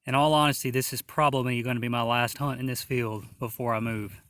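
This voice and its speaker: Hoarse, excited man. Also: mid-range voice, no echo, clean studio sound. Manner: excited, slow and awed, many exclamations. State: hoarse, losing his voice. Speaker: metal detecting vlogger. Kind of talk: casual outdoor narration to camera. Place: open field outdoors.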